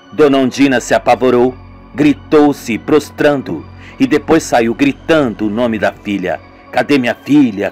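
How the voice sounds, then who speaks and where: Male, Brazilian accent, mid-pitched, very animated theatrical storytelling, radio soap narration, light music behind. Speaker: narrator of an amateur radio serial, doing all the parts.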